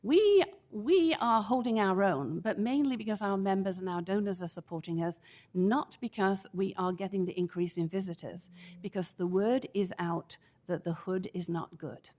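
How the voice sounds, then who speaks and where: A middle-aged woman stands at a podium microphone in a council chamber and gives formal public testimony. Her big, projecting voice comes out measured and deliberate, with a firm, emphatic push on her key points. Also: medium pitch, darkish tone. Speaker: public commenter at city council.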